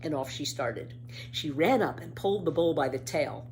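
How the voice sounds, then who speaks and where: A woman reading a children's story aloud with dramatic, emphatic, expressive narration. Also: low-pitched, moderate pace, rough recording. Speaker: storybook reader. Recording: faint background noise.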